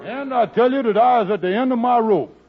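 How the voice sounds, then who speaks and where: High-voiced blustering radio sitcom husband, muffled recording. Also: male voice, brisk, noisy background. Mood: exasperated. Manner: blustering, folksy ungrammatical speech. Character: Kingfish, indignant, blustering husband turned amateur sleuth. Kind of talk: golden age radio sitcom acting.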